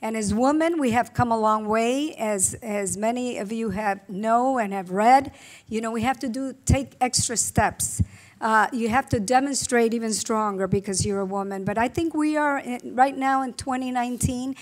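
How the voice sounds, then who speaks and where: A woman's voice: on the high side, normal speed. A middle-aged woman from Rico, speaking earnestly at a panel event on a table microphone, with many fillers and restarts. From Puerto Rico. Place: conference room with blue drapes.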